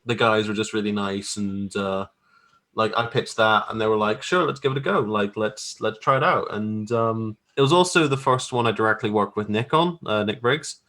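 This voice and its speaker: A man's voice: fairly low pitch, moderate pace, pushed and bright. A man chatting casually as an interview guest, modest and hesitant, circling back over his phrases. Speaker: guest graphic artist.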